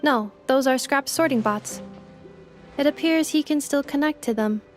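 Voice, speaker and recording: A woman playing a polite, analytical robot assistant in a video game, calm, precise and formal. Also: high voice, moderate pace, faint music behind, lightly acted.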